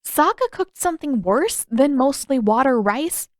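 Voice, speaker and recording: A woman voicing an exasperated, sharp-tongued fourteen-year-old girl in an audiobook, challenging and sarcastic. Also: high-pitched, lively intonation, measured pace, no room sound, clean studio sound, voice pushed up. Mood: incredulous. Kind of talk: audiobook character voice.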